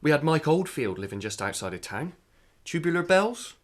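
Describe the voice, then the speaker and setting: Hesitant, kindly man, awkward, rambling, lively intonation, naturalistic radio play. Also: a little high, moderate pace. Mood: flustered. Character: awkward, curious, kindly small-town man. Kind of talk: naturalistic radio drama acting.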